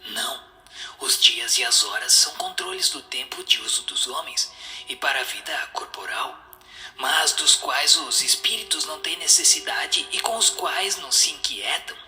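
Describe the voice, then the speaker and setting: A man's voice: a little high, sing-song, normal speed, pushed and bright. A man with a Brazilian accent giving answers in a solemn scripted, dramatized reading. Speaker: reader of the answers. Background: music.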